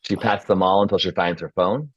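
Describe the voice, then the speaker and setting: A man's voice: deep voice, fairly even intonation, brisk, husky, dry recording, muffled recording. A man hosting and narrating a script table read in an informal style. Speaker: host and stage-direction reader. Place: video call.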